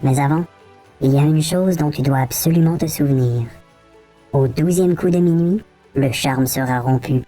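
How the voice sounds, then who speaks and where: An actress playing a wise, kindly fairy godmother in a storybook tale, soft-voiced, gentle and instructive. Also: low-pitched, moderate pace, soft background music. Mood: gentle warning.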